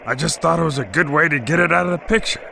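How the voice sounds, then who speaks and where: A man playing a shifty, nervy 1940s gangster henchman in a noir audio drama, talking slangy underworld talk in a gravelly voice. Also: on the high side, quick pace, a crowd in the background. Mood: nervy, deferential. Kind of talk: noir radio drama phone conversation.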